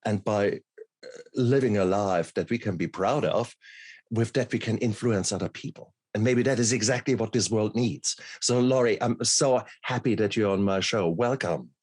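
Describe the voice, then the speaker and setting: A man's voice: fairly low pitch, normal speed, darkish tone, slightly rough, dry recording. A man who grew up in Germany, hosting an interview in a casual, hesitant way and often repeating his words. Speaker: podcast host, doctor.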